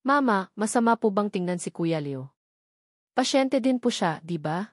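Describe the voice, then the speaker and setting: A woman's voice: medium pitch, animated, normal speed, no echo, clean studio sound, lightly acted. A woman with a Filipino accent voicing an innocent, caring young child in a dramatic story. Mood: earnest.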